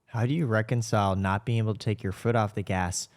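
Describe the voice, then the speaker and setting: A man with a fairly low voice hosts a casual, candid podcast talk. He reflects out loud in a conversational way, often posing rhetorical questions. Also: steady tone, fast talker. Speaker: show host and company co-founder.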